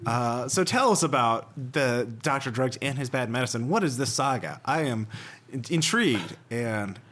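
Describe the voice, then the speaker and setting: An American man hosting a casual podcast chat in a halting, wry way with some swearing. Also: mid-range voice, lively intonation, a bit bright. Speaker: podcast host.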